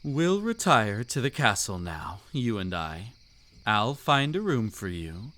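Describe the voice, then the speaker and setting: A man's voice: lowish voice, very animated, takes it slowly, pushed and bright, full character voice. A man playing a seductive, commanding vampire count in an intimate audio roleplay, suave, teasing and theatrically formal. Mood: intimate, possessive. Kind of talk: intimate roleplay audio drama, scripted monologue.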